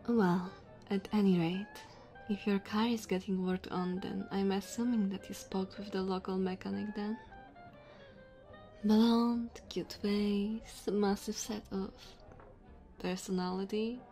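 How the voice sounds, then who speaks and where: A woman's voice: mid-range voice, steady tone, takes it slowly, mellow, soft background music. A woman playing a sassy, flirty diner waitress in an ASMR roleplay, teasing and chatty. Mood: friendly, playful.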